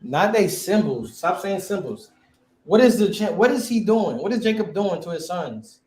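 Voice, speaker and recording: Man, teacher, high pitch, slangy and questioning, echoey classroom. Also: normal speed. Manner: quizzing, questioning; relaxed slang. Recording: echoey room.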